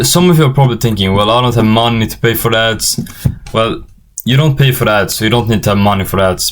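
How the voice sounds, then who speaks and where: Teenage male tutorial presenter, native Swedish speaker with Swedish accent, lowish pitch, informal and hesitant delivery. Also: a bit bright. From Sweden. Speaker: online business course instructor.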